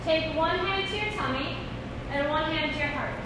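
A woman leading a group yoga and breathing class with loud, encouraging, casual cues. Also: high-pitched, normal speed. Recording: echoey hall from far off, noisy.

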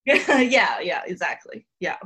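A woman's voice: on the low side, sing-song, takes it slowly, sharp tone. A woman from Taiwan, a podcast guest, chatting casually and laughing often. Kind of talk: casual chat.

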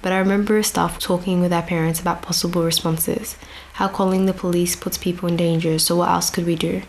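Low, flat-voiced young woman speaker. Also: brisk, slight background noise. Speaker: speaker addressing an outdoor audience. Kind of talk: prepared storytelling speech. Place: outdoor venue with grass and a stage.

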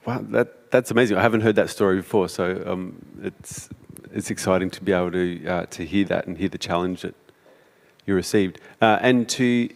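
A man's voice: sits low, moderate pace, gravelly, long reverb tail. A man interviewing a guest in a church, encouraging and affirming. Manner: encouraging, affirming with frequent yeahs. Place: church sanctuary.

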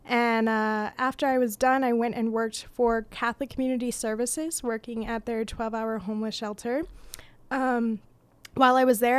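A woman's voice: on the high side, clear, resonant tone, no echo. A woman in her twenties introducing herself in an interview, matter-of-fact as she lists her work. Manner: matter-of-fact, listing credentials. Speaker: guest.

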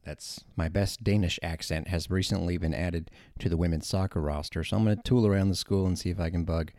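A man's voice: low-pitched, fairly even intonation, a little fast, warm tone, no echo, clean studio sound. A man hosting a college sports radio show, talking casually with informal asides. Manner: chatty, informal, rambling asides.

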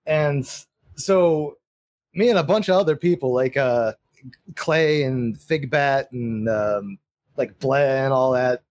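A man's voice: medium pitch, slow pace, a little mellow, no echo. A man in a casual podcast chat, rambling and swearing as he defends his grievances. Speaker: guest.

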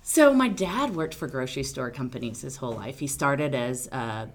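A woman attorney from Arkansas, answering interview questions in a loud, outgoing, talkative way. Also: a bass voice, animated, moderate pace, a little hiss or hum. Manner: loud, big personality, talkative. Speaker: attorney guest.

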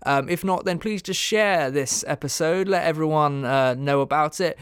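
A man's voice: a little high, moderate pace, a bit bright, clear, resonant tone, dry recording, clean studio sound. A man hosting a podcast, casually giving thanks, plugs and sign-offs. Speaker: podcast host.

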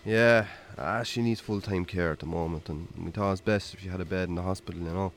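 A man's voice: deep voice, fairly even intonation, fairly quick, a little hiss or hum. A man playing a worn-down, lonely Irish farmer in a radio drama, wry, understated and conversational. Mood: worried, resigned. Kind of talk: naturalistic radio drama acting.

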